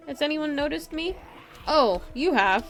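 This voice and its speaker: A woman's voice: high-pitched, lively intonation, unhurried, a bit bright, soft background music. A woman giving casual live gameplay commentary in a playful way, talking to herself and her chat. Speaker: game streamer, host.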